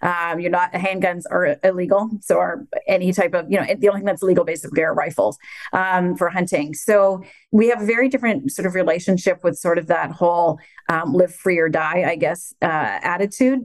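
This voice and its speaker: A woman's voice: on the low side, steady tone, brisk, no room sound. An older woman from Canada, a radio host and grandmother, chatting casually and self-deprecatingly. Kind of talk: casual chat.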